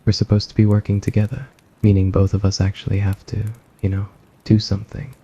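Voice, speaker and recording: Man playing a blunt, gravelly, irritated young student. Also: on the low side, a little fast, lo-fi sound. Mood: annoyed, scathing. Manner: blunt, flat, grudging. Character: irritated, socially awkward smart male student, blunt.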